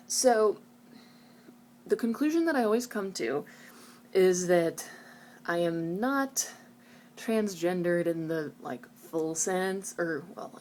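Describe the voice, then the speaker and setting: A woman's voice: lowish voice, a little mellow, slight background noise. A woman talking casually and reflectively in a personal vlog, candid and introspective, often qualifying what she says. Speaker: video blogger.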